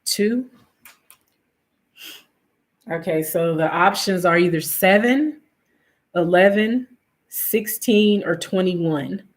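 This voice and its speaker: A woman's voice: on the low side, slow, pauses often. A woman, nasal and sniffly from allergies, casually chatting with viewers on her stream. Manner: chatty, reads viewer names, trailing fillers. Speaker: livestream host.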